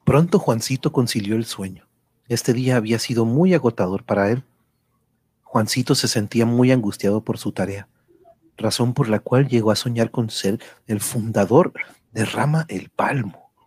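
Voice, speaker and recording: A man with a husky voice narrating a scripted radio drama as a storyteller. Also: medium pitch, moderate pace, a little mellow, no room sound.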